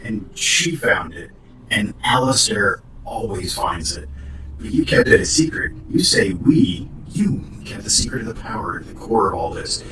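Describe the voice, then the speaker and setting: A man plays an edgy, wounded technician in horror audio fiction. His pitch moves widely as he accuses. The recording is echoey, clearly noisy and some way from the mic. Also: huge pitch swings, moderate pace. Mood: accusing, hurt. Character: stressed, sweary signal technician with strained loyalties. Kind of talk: audio horror drama acting. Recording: roomy, distant, noisy.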